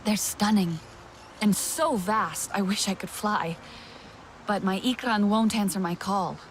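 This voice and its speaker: A woman's voice: mid-range voice, unhurried, slight background noise, lightly acted, rough recording. A woman playing a young alien heroine, an earnest outsider, in a plain, conversational video game performance. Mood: tentative. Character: young Na'vi heroine, earnest outsider learning her people's ways. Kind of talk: naturalistic video game acting.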